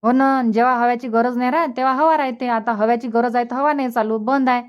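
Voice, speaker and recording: Woman doing a cartoon village mom, bit high pitched, practical, bossing gently, varhadi marathi accent. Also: fairly even intonation, quick pace, clean tone, natural voice. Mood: matter-of-fact. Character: village mother, practical and advising family elder. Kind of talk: colloquial cartoon comedy dialogue.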